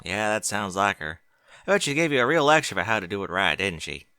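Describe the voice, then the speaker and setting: A man's voice: on the low side, big pitch swings, quick pace, bright, forceful tone, smooth, no echo, clean studio sound, heavily acted. A man voicing a plain-spoken female farm pony, earnest and casual, in a fan fiction audiobook.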